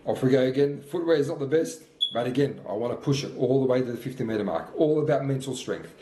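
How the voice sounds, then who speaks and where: A man's voice: medium pitch, expressive, fast, noisy background. A man of about fifty talks over footage of his own workout, coaching in a plain, encouraging way. His voice sits in a middle register. The sound has a noticeable roomy echo. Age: 50s. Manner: motivational, talks through his own thoughts. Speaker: fitness coach.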